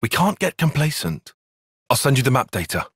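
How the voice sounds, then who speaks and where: A man's voice: medium pitch, very animated, normal speed, a bit bright, husky, no room sound, clean studio sound. A man playing an earnest, analytical young soldier in a fantasy video game, speaking with precise, formal phrasing. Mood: serious, analytical. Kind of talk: video game cutscene acting.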